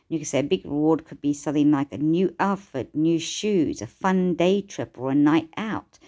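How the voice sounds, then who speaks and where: Low-voiced woman with a cold. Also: darkish tone. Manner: chatty, encouraging, repeats and restarts. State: hoarse from a cold. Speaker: life coach. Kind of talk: casual self-help talk to camera.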